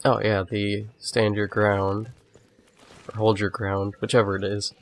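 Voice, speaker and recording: A man with a cold, a little sniffly, giving relaxed, rambling commentary over a game walkthrough. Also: lowish voice, unhurried, a little hiss or hum. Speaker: gamer doing a walkthrough. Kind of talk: casual gameplay commentary.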